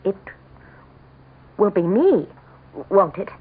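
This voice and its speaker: A husky, theatrical scheming wife. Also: female voice, mid-range voice, sing-song, slow pace, full character voice. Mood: defiant. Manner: theatrical, anguished, imperious. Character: vain, desperate, scheming governor's wife bargaining with Devil. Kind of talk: melodramatic radio drama acting and first-person narration. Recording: muffled lo-fi vintage radio broadcast.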